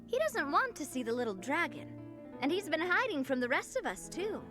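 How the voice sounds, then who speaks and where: A woman voicing a matter-of-fact storybook creature in a video game, expressive and animated. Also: high-pitched, brisk. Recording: music behind.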